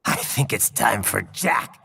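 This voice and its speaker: An actor playing a grim, vengeful cyborg mercenary in a video game, with a deep gravelly rasp and growled delivery. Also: male voice, expressive, takes it slowly, bright, forceful tone, big-room sound, exaggerated voice. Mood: menacing. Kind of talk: dramatic video game voice acting.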